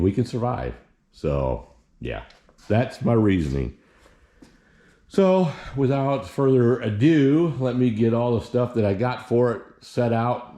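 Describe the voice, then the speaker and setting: A man's voice: lowish voice, warm tone. A sixty-year-old man from Indiana chatting casually in his garage vlog, rambling and folksy, with many restarts. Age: 60s. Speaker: garage vlogger. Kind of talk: casual how-to chat.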